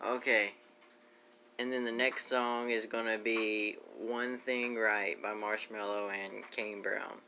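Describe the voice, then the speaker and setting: A young man giving a casual, halting, low-key spoken introduction to a self-taped audition. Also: level tone, pushed and bright, slight background noise. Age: young adult. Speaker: amateur singer auditioning. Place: small room at home, indoors. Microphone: phone at arm's length.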